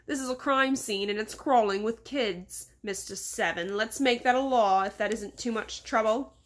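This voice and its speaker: A woman's voice: on the high side, normal speed, lightly acted. A woman voicing a pompous, insulting rich man with an English accent in a storybook, imperious and scolding. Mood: haughty, indignant.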